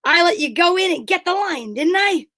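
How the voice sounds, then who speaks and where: Woman playing a bossy, high-voiced radio straight man. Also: expressive, moderate pace, fairly bright tone. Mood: coaxing. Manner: commanding, cajoling patter. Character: bossy, wheedling straight man Abbott, adult male comic. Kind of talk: old-time radio comedy sketch.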